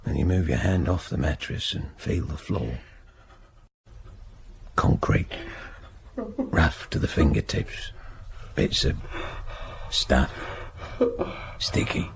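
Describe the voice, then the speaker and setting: A man playing a bitter, stubborn long-term prisoner in a radio drama, speaking in terse, brooding fragments with a low, gravelly voice. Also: slow, pauses often, slight background noise, heavily acted. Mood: brooding, bleak. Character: long-serving male prisoner protesting his innocence, bitter, stubborn, brooding. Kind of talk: radio drama acting, intimate first-person monologue.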